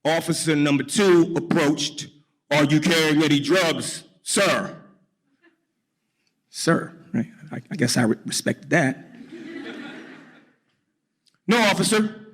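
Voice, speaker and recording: A man from New York telling a personal story on stage, deliberately and wryly, with dramatic pauses. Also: fairly high pitch, a bit bright. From New York, United States.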